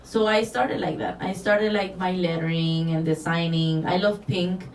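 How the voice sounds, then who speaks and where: A woman's voice: fairly low pitch, fairly even intonation, measured pace. Mexican woman chatting about her work, earnest, lots of restarts, roomy echo, mic kinda far off. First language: Spanish. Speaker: guest, designer. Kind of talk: casual interview. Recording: roomy, distant, faint background noise.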